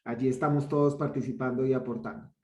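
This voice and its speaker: A man's voice: medium pitch, steady tone, fast, darkish tone, reverberant. A man with a Colombian accent giving an earnest welcome speech for an online course, with frequent restarts. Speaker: bootcamp organizer.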